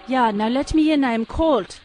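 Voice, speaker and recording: A woman's voice: sits high, moderate pace, a little mellow, slight background noise, lightly acted. An actress playing a female German spy posing as a demure secretary in a comic audio drama, with a German accent and clipped speech. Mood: brisk, cold.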